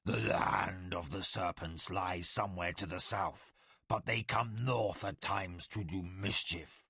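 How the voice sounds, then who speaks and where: A proud tiger-man warrior chief with a slow, low, snarling delivery, played by a male narrator. Each word drags out with a gravelly growl, fierce but wary. The recording is dry and close. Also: pushed and bright, no echo, full character voice.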